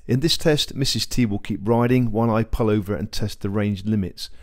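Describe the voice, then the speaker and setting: A man's voice: on the low side, a little mellow, no room sound, studio quality. A man vlogging about motorcycle intercoms, chatty and instructive, with a self-deprecating manner. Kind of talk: casual vlog narration and intercom chat. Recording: noisy road and traffic background.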